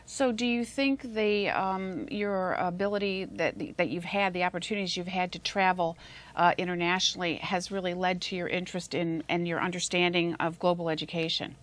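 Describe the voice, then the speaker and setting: A woman's voice: fairly low pitch, fairly even intonation, normal speed, fairly bright tone. Upbeat woman hosting a talk show. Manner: upbeat, scripted introductions, polite interviewing. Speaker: host.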